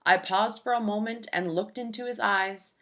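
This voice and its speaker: A woman narrating a rhyming children's storybook in an expressive, deliberate storytelling manner for young listeners. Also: medium pitch. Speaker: children's book read-aloud narrator.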